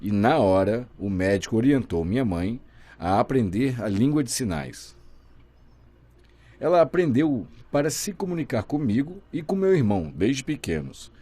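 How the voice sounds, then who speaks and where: A man voicing a deaf guest's signing in the first person, telling his story in a lively, expressive way. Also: medium pitch, normal speed, husky. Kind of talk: simultaneous voice interpreting, first person.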